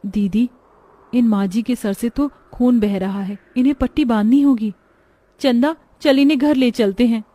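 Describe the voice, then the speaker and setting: A woman acts out a dutiful young daughter in a cartoon story. She sounds earnest and caring, with a highish voice and a dry recording. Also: normal speed, warm tone, dry recording, lightly acted. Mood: urgent. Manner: concerned, earnest.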